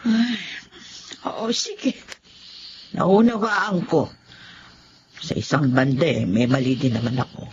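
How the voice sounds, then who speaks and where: A woman playing an older, caring, meddlesome mother in a radio drama, speaking in a halting, motherly way. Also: very deep voice. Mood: contrite, tender. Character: meddling but caring older mother, wounded, then contrite. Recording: dull lo-fi audio with faint noise.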